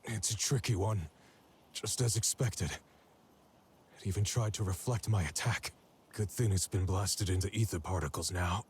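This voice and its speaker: Gravelly, grim male fighter. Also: deep voice, moderate pace, darkish tone, a little hiss or hum. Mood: weary relief. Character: grim, determined male fighter battling an evil being. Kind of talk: dramatic game voice acting. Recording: game music under the voice.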